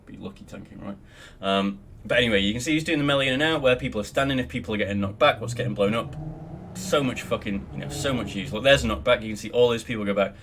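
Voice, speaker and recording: A man's voice: fairly low pitch, quick pace, fairly bright tone, a little hiss or hum. A young Australian man casually talking through a game tutorial at his desk, rambling with lots of ums and the odd swear word. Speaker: guild member teaching raid logs. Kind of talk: casual screen-recorded tutorial.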